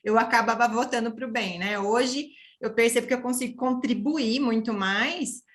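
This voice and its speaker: A woman's voice: on the high side, normal speed. A woman speaking as a podcast guest, casual and reflective, often closing phrases with a tag question.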